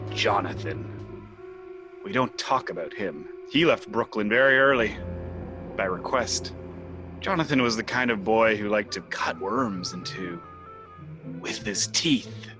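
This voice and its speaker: An actor playing a witty, dry-humored young drama critic in an amateur audio drama. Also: male voice, lowish voice, slow, pauses often, music in the background. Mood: wry. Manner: dry, wry banter.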